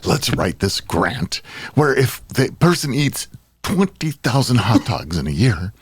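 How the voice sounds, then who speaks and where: A man's voice: medium pitch, very animated, a little slow, breathy edge, no room sound. A man co-hosting a podcast in casual, jokey banter, slipping into imagined quotes. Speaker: podcast co-host.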